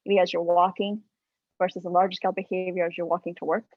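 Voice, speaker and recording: A woman from Mexico in her thirties, a statistics professor giving a relaxed academic talk over a video call, with frequent ums and uhs. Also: on the low side, fast talker, no echo. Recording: dry, phone-like video call audio.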